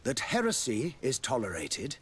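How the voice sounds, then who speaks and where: A man's voice: medium pitch, wildly swooping pitch, moderate pace, fairly bright tone, husky, exaggerated voice. A man playing a courtly, devout ambassador in a fantasy video game, speaking in a formal, florid, diplomatic way. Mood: smooth, assured. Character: courtly, devout male ambassador of a theocratic nation.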